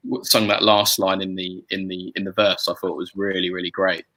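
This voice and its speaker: A man in a casual chat about making music, talking haltingly and often repeating words as he goes. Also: low-pitched, little pitch movement, pushed and bright. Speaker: musician and song arranger hosting a group chat.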